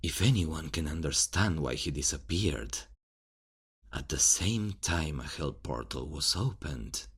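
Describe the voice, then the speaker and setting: Man acting a deep-voiced, troubled king. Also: lively intonation, a little slow, slightly rough, full character voice. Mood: troubled, earnest. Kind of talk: fantasy audio roleplay acting.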